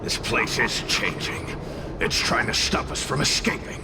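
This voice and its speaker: A man playing a grouchy, sarcastic tail spirit in a video game, gravelly and sardonic, with a theatrical delivery. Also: medium pitch, measured pace, very noisy, natural voice. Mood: gruff, wary. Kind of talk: video game character dialogue. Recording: long reverb, loud game music behind.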